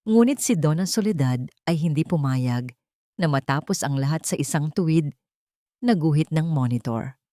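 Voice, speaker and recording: A woman with a lowish voice reads a dramatic story with earnest emotion. The recording is dry and close, with no room sound. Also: animated, normal speed, mellow, no echo. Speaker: narrator.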